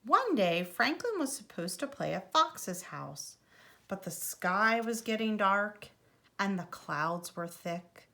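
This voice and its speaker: A woman reads a storybook for young children like a preschool teacher. Her voice is bright and very lively in pitch, and she moves slowly and deliberately through the sentences. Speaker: preschool teacher reading aloud. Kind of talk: expressive children's storybook reading.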